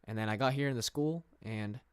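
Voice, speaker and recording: A man's voice: mid-range voice, a little fast, clear, resonant tone, dry recording. A young man from England chatting casually on a livestream from his room, rambling with fillers and reading viewer questions aloud. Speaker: streamer.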